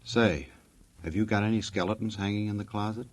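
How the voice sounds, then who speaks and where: A man's voice: on the low side, steady tone, fairly quick, over loud music. An American man working as a 1940s radio announcer, narrating in a folksy, tongue-in-cheek, wisecracking way.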